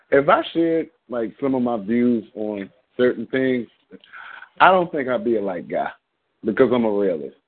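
A man speaks over a thin, tinny phone line, his voice at an ordinary middle pitch. He talks bluntly and with passion, rambling through long runs of thought. He is a caller on a talk radio show. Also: slight background noise. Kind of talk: call-in radio commentary.

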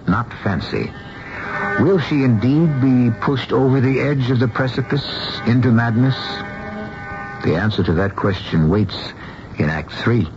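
Grave American mystery narrator, low voice, sweeping intonation, ominous, deliberate, dull radio sound. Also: male voice. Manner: deliberate, portentous. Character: grave, ominous male host of mystery tales. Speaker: host and narrator. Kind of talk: theatrical scripted radio narration. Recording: dull old radio broadcast. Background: loud dramatic music.

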